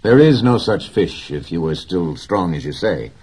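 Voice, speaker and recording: A man voicing an earnest, devoted young fisher boy in a higher, lighter voice. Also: sing-song, normal speed, slightly rough, exaggerated voice. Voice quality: raised, brighter boyish voice.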